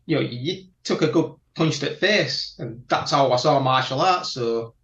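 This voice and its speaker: A man from South Yorkshire with a strong Yorkshire accent, chatting as a guest and telling his martial arts story slowly and carefully, often laughing. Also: mid-range voice, a bit bright. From England (Barnsley, South Yorkshire). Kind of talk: casual interview chat. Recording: echoey room, dull lo-fi audio.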